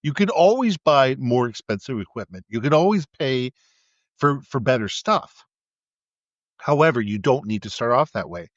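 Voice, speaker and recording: A man co-hosting a casual podcast, talking at length in a booming voice, with frequent restarts, into a USB mic kept a little away from his face. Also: big pitch swings, moderate pace, dry recording, lo-fi sound. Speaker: podcast co-host. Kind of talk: casual chat. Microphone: USB condenser mic with windscreen, held back from the mouth.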